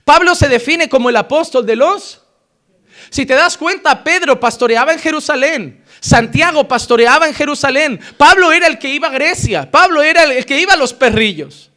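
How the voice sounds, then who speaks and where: A man with a Spain Spanish accent delivers an impassioned sermon in a notably high voice. He presses his points with loud emphasis, then sinks into quiet, earnest passages. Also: expressive, normal speed. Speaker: preacher.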